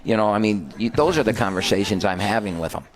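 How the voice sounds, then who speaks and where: A man's voice: on the low side, lively intonation, quick pace. An older man, a basketball head coach, telling rambling stories on a radio show and correcting himself as he goes. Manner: rambling, self-correcting storyteller. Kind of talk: casual radio chat.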